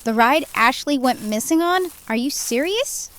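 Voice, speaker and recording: A woman's voice: sits high, expressive, moderate pace, fairly bright tone. A woman playing a wary, sceptical, easily exasperated young friend in a horror audio drama. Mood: exasperated, nervous.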